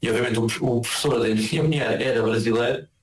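A man's voice: medium pitch, steady tone, fast. Portuguese man in his thirties, interviewee, rambling and repeating himself, roomy echo. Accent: European Portuguese. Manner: rambling, repeats words while searching. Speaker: interviewee, emigrant living in Argentina. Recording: echoey room.

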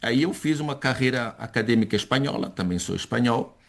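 A man's voice: mid-range voice, lively intonation, fairly quick, vocal fry. A man, speaking as a professor in a conversational interview, thoughtful and hesitant, often restarting and repeating words. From born in Chile to Brazilian parents.

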